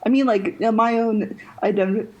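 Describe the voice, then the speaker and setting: A young woman co-hosting a podcast in casual chat, halting, with frequent restarts and quick laughs between phrases. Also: on the high side, steady tone, darkish tone, slight background noise, rough recording. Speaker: podcast co-host.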